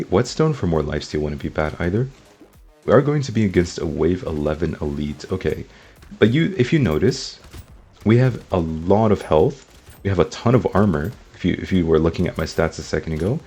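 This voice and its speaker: Low husky man narrating gameplay. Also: darkish tone. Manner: upbeat, talks to viewers as guys. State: slightly sick, rough throat. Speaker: gaming YouTuber. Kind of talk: casual gameplay commentary.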